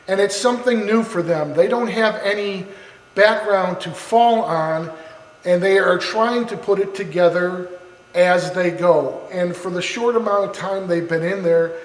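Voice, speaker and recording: A man on the council speaking at a public meeting in an earnest, conciliatory, somewhat halting way. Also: high voice. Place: city council chamber. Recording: echoey meeting room.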